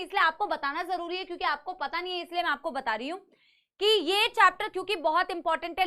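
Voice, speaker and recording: High bright-voiced teacher with sore throat. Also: female voice. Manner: addresses students as "beta", mixes English phrases, coaxing and reassuring. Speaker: online biology teacher. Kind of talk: live online class lecture.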